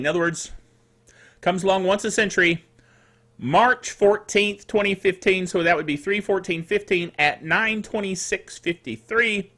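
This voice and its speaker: Man, bright high voice, slightly rough throat, chatty tech host, jokey asides. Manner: chatty, jokey, digressive, self-correcting. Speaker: host of a tech news show; technical director for radio stations. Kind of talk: casual scripted tech news commentary with asides.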